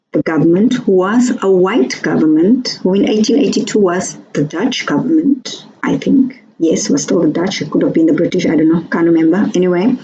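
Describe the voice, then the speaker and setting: A South African woman, a native Afrikaans speaker, talking casually to her viewers with pauses and asides. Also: medium pitch, darkish tone, dull audio, a little hiss or hum. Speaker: vlogger. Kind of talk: casual commentary to camera.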